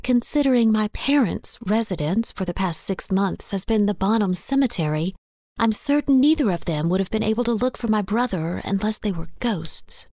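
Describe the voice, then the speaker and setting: A woman's voice: medium pitch, expressive, normal speed, darkish tone, no echo, clean studio sound. A woman voicing a petite, defiant and evasive young woman in an audiobook story. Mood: indignant, evasive. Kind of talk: audiobook character dialogue.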